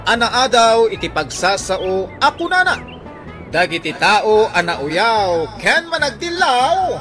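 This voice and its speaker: A high-voiced man with an Ilocano accent announces a radio drama. His delivery is slow, emphatic and widely swooping over prominent music. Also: very animated, sharp tone.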